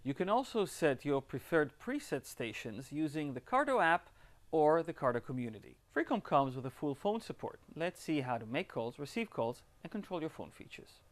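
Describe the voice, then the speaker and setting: A man's voice: fairly high pitch, very animated, normal speed. A man giving scripted step-by-step instructions as an upbeat tutorial presenter.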